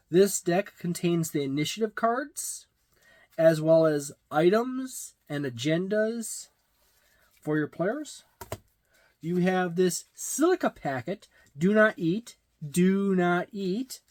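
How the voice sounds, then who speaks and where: A middle-aged man giving a casual, halting, matter-of-fact unboxing and review, recorded in a small room. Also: high-pitched, animated, darkish tone. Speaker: tabletop game reviewer. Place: small indoor room with packed shelves.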